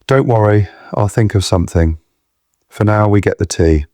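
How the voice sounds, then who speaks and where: A low-pitched man , played by an actor, as a kindly, shaken reluctant rescuer in an audio drama. He mutters hesitantly and offers reassurance, in a dry, close recording. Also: normal speed, warm tone, dry recording, lightly acted. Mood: resolved, reassuring.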